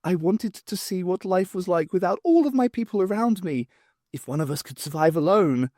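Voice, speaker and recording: A man voicing an ancient male alien in an audiobook, a wistful rebel poet and lone survivor who tells his tale in an animated, rambling way. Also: high-pitched, moderate pace, no echo, studio quality, pitched above the performer's own voice.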